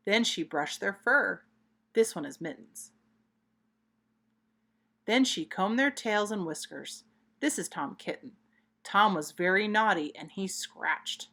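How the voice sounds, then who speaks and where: Female children's storybook narrator, mid-pitched, very animated, expressive and deliberate in delivery. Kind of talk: expressive read-aloud storytelling.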